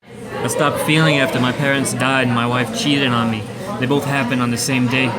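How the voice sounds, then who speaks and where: A man's voice: medium pitch, steady tone, normal speed, over a noisy crowd, natural voice, rough recording. A man playing a guilt-ridden, resigned jailed killer in an amateur radio play. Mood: guilty, bitter.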